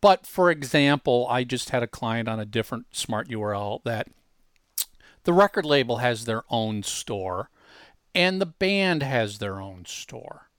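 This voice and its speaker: A man's voice: mid-range voice, animated, a bit bright, clear, resonant tone, no room sound, clean studio sound. A man hosting a podcast in a conversational demo style, emphatic and often restarting his words. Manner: emphatic, halting with repeated word starts. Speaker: podcast host.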